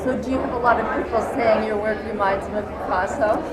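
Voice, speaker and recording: A woman TV reporter introducing a feature and interviewing artists in a clear, upbeat presenter manner. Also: mid-range voice, steady tone, fast talker, a crowd in the background, rough recording.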